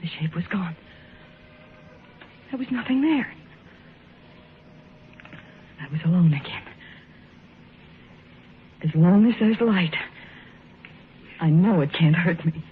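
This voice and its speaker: An actress playing Elaine, a frightened young woman in a 1950s radio drama, telling her story in an anxious, halting way. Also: fairly low pitch, animated. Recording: tinny old radio broadcast, faint hiss.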